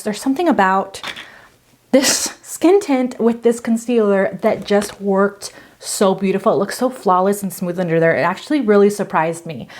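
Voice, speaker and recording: A woman's voice: medium pitch, a little mellow. Chatty woman in her thirties reviewing makeup. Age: 30s. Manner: chatty, filler-heavy, breezy. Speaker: beauty vlogger. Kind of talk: casual product review.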